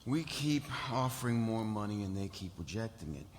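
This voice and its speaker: A man's voice: lowish voice, animated, moderate pace, natural voice. A man playing an angry, demanding corporate client in a live script read, blunt and aggrieved. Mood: irritated, demanding. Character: angry, demanding male corporate client, foul-mouthed and bullying. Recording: echoey hall, faint background noise.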